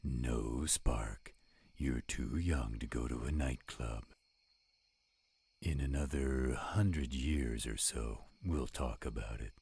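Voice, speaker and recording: A man voicing a gruff, protective werewolf with a husky voice in an audio roleplay. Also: extremely low pitch, slow, a little mellow, heavily acted. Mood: gruff, restless. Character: gruff, edgy male werewolf, protective of companions.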